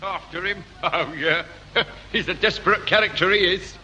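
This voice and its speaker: Joking corporal, very bright forceful voice, high-pitched, breezy banter, old radio comedy, reverberant. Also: male voice, moderate pace. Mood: teasing. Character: jokey, breezy air force police corporal. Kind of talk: 1960s radio comedy acting. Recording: reverberant, faintly hissy old radio broadcast.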